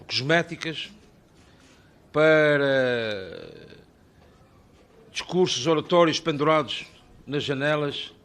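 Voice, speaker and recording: A man's voice: a little high, fairly bright tone. A middle-aged man speaks with an Azorean Portuguese accent. He delivers a formal, ceremonial address in a slightly raised voice, stacking phrase after phrase in rhetorical lists. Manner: ornate, rhetorical, long listing phrases. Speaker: invited speaker at a parliamentary hearing.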